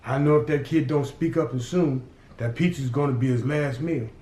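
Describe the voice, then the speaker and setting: A man's voice: mid-range voice, normal speed, mellow, lightly acted. A man voicing a hot-tempered, threatening gang boss who swears freely. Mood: menacing. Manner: threatening, profane, growling. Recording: roomy echo.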